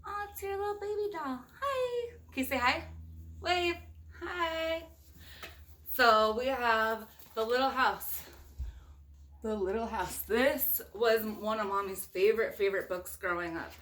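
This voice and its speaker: A woman's voice: fairly high pitch, animated, a little slow, fairly bright tone, slight background noise. A woman doing a casual storytime read-aloud, speaking informally as a mother does to small children, with chatty asides and questions. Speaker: mother reading a picture book to young children and viewers.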